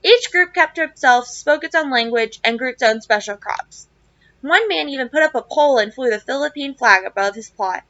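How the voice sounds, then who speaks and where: A woman reading aloud to children like a teacher, with expressive phrasing and clear emphasis. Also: high-pitched, moderate pace, no echo, dull audio. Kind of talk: read-aloud of a children's novel with casual asides.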